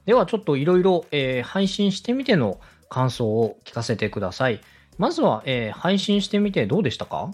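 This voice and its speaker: A man with a husky, mid-pitched voice interviews as a podcast host. His intonation moves up and down in a lively way as he courteously encourages and advises. Soft music plays behind him. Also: fairly quick. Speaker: podcast host, radio director. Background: background music.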